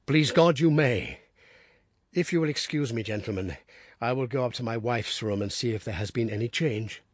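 A man's voice: mid-range voice, moderate pace, breathy edge, no echo, close to an everyday voice. A man voicing a big, desperate husband who pleads urgently and in anguish. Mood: weary.

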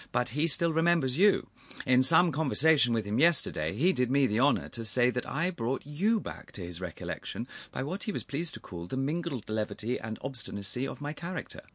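A man voicing an affable, impudent young gentleman in a storybook, unflappable, courteous and easily conversational. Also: mid-range voice, big pitch swings, a little fast, dry recording, voice pushed up. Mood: affably obstinate. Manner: smooth, courteous, easy conversational cheek. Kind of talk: audiobook character voice.

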